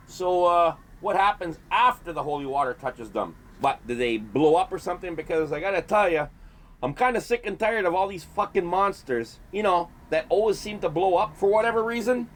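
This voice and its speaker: Man playing a brash wisecracking monster hunter guy, high voice, exasperated, comedy horror podcast. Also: animated, normal speed, natural voice. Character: brash, foul-mouthed young male monster hunter. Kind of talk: comedy horror audio drama acting.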